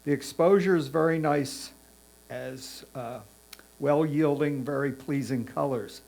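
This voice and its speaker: A man giving a spoken critique as a photo competition judge, in a measured, tactful manner with hesitant pauses. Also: a little high, gravelly, darkish tone.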